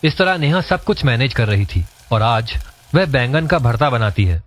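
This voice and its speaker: A man's voice: medium pitch, animated, normal speed. A man reading scripted narration for a cartoon story, in a dull lo-fi recording. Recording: dull lo-fi, dry sound.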